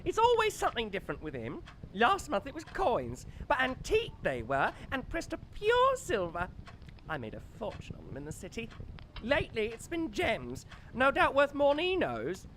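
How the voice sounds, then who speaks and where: Wildly swooping quaint innkeeper. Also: female voice, fairly high pitch, huge pitch swings, moderate pace, a bit bright, a little hiss or hum, poor audio. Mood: gossipy, sly. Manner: quaint folksy phrasing, theatrical. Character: cheery, sly innkeeper barmaid, gossipy and foreboding. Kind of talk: theatrical audio drama acting.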